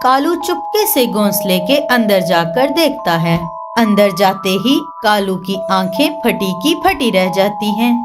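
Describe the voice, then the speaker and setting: A woman's voice: mid-range voice, animated, measured pace, hardly any pauses. A woman reading scripted narration for a children's cartoon story as the storyteller. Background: music.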